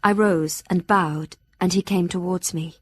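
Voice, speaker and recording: An actress playing an earnest, anxious young Victorian gentlewoman in an audio drama, narrating her journal in a formal, heartfelt, measured way. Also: fairly low pitch, steady tone, breathy edge, dry recording, clean studio sound.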